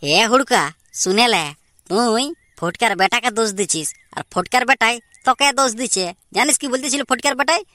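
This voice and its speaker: A woman's voice: fairly high pitch, normal speed, bright, forceful tone, dry recording. A woman voicing an earnest, dutiful young village brother in a cartoon comedy, with a Purulia Bengali accent. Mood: questioning. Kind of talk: amateur cartoon comedy dialogue.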